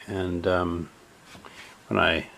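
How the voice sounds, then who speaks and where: A man narrating a step-by-step radio repair in a halting way, with many fillers. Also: low voice, little pitch movement, vocal fry, fairly bright tone, a little hiss or hum.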